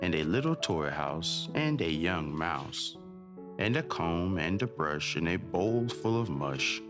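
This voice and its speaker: Male bedtime-story reader, lower-pitched and very unhurried, with swooping melody over clearly audible music. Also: sing-song. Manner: sing-song, deliberate pauses between short phrases. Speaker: children's read-aloud host. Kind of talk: bedtime picture-book reading.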